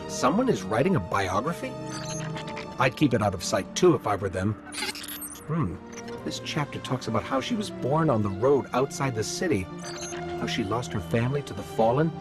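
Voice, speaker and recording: A man's voice: mid-range voice, big pitch swings, moderate pace, close to an everyday voice. A man voicing a small floating robot companion in a video game, curious and dry, musing aloud with wry asides. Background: game music.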